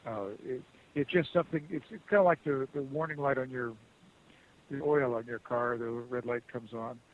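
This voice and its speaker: Muffled, halting man on a support call. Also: mid-range voice, fairly even intonation. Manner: halting, rambling, conciliatory. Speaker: customer service call agent. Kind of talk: unscripted phone support conversation. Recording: muffled phone call with hiss.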